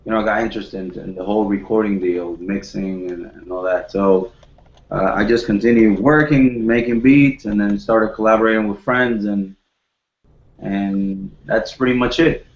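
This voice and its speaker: A young man in his twenties from the Dominican Republic talks modestly about making music, often restarting his sentences. His voice is low for a man. He sounds like he is in an echoey room, coming through dull lo-fi call audio. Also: steady tone. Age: 20s. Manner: modest, halting, many restarts and fillers. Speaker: guest, home studio producer. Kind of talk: casual video call chat.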